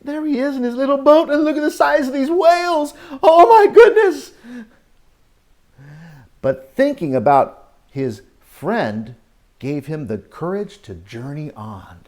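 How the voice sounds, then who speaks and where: A man reading a picture book aloud to young children as their teacher, expressive, drawing out story lines and adding exclamations and questions for the listeners. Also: very high-pitched, a little slow. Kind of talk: animated read-aloud storytelling with asides to children.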